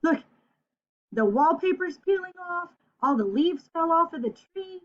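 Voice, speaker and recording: Slow-talking woman teaching young children, dull recording. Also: very high-pitched. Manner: sing-song and expressive, with drawn-out key words and questions to the listener. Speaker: children's teacher and storybook reader. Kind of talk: read-aloud vocabulary lesson for young children.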